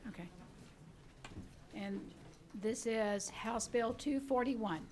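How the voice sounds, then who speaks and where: Brisk woman chairing a committee. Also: on the high side, darkish tone, a little hiss or hum. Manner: brisk and procedural. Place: legislative committee room.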